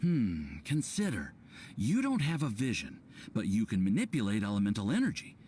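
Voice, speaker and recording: A man playing an earnest, bookish young research assistant in a video game, with animated, slightly hesitant academic phrasing. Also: lowish voice, moderate pace, slight background noise. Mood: earnest, musing. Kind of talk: scripted video game voice acting.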